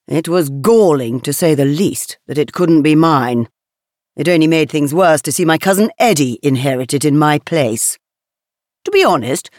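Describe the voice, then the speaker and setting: Genteel English lady writer, lively intonation. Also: low-pitched, no room sound, clean studio sound. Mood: rueful. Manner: measured, literary, genteel delivery. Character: Vita Sackville-West, refined aristocratic English woman writer, wistful. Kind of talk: scripted first-person monologue, reflective storytelling.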